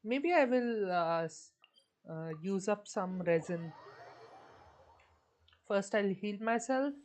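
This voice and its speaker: A woman livestreams a game in a casual, unhurried voice. She thinks aloud with hesitant murmurs, at a middling pitch and a very slow pace. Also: expressive, darkish tone, a little hiss or hum. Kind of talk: casual livestream chat while gaming.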